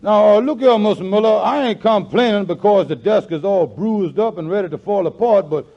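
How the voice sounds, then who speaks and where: A man's voice: high-pitched, moderate pace. A man playing a wily, folksy, skeptical lodge leader in a 1940s radio comedy, talking in ungrammatical banter. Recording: muffled 1940s radio recording.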